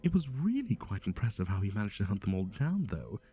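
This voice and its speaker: A man's voice: on the low side, big pitch swings, brisk, mellow, faint music behind. A man playing a chatty, playful matchmaker in an ASMR roleplay, with a theatrical, teasing, conversational delivery. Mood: theatrical, amused. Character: chatty, playful male matchmaker for obsessive yandere boyfriends.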